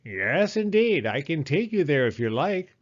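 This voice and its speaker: A man's voice: on the high side, very animated, unhurried, dull audio, pitched above the performer's own voice. A man voicing a fussy, chattering female hen who collects treasures. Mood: chatty.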